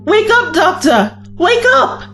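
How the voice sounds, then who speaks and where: Young time-travel companion, actress: high, animated, pleading and earnest, for sci-fi audio drama. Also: female voice, takes it slowly, faint music behind, full character voice. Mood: urgent, upset.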